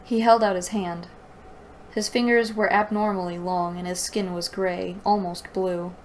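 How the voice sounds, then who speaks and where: A woman's voice: mid-range voice, little pitch movement, a little slow, a little hiss or hum. A woman reading a story aloud and breaking in with sarcastic, exasperated commentary. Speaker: host reading and critiquing a fantasy novel aloud.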